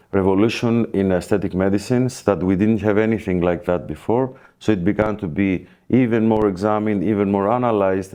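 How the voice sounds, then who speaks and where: A man with a Greek accent explaining things as a guest expert in a podcast interview, in halting, hesitant phrases. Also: deep voice, mellow. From Greece. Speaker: plastic surgeon, guest expert.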